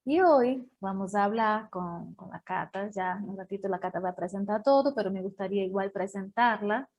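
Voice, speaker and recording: A woman's voice: mid-range voice, a little mellow, muffled recording. Woman. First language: Portuguese. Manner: halting, self-correcting over names and words. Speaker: host. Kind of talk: online talk introduction.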